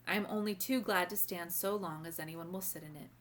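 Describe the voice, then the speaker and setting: A woman voicing a kindly, wise old grandmother in a storybook, gently and formally giving instruction. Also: fairly low pitch, a little fast, lightly acted. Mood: instructing. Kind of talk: storybook read-aloud character voice.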